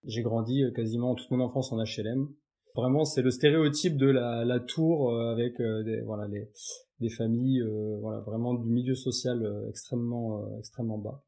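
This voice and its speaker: Un homme invité d'un podcast, qui raconte son parcours sur un ton de conversation détendue, avec beaucoup d'hésitations et de reprises. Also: male voice, mid-range voice, steady tone, normal speed, mellow. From Paris suburbs, France. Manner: chatty, many fillers and restarts. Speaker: podcast guest. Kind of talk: casual interview chat.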